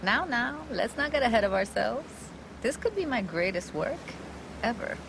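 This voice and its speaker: An actress playing a playful, self-assured young techie and diver in a video game, with a slangy, teasing, laid-back way of talking. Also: female voice, fairly high pitch, big pitch swings, normal speed, creaky, background noise. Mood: upbeat. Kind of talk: cinematic game dialogue.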